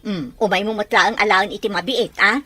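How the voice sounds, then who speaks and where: A woman playing a bright, blunt older sister. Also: mid-range voice, steady tone, a little fast, no room sound. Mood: reproachful. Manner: blunt, reproachful.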